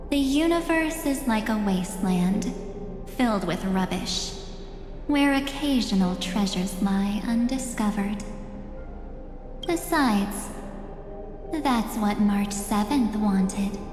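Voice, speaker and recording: Game villainess: grandiose seductress, unhurried and menacing, in long reverb over music. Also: female voice, fairly high pitch. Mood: solemn, resolute. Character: menacing, seductive, grandiose female keeper of memories. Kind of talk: theatrical video game voice acting.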